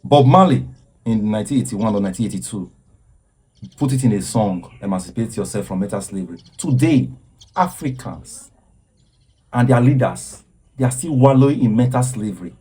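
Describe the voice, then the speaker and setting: A man speaks with earnest, preaching conviction and often stops to repeat words. His voice is dark and mellow with a husky edge. He lectures like a commentator making a case. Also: lowish voice, expressive, moderate pace. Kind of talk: impassioned social commentary.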